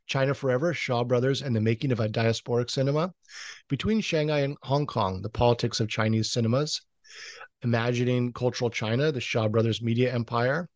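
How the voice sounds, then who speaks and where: Man, podcast interviewer, mid-range voice, halting phrasing, dry recording. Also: medium pitch, no echo.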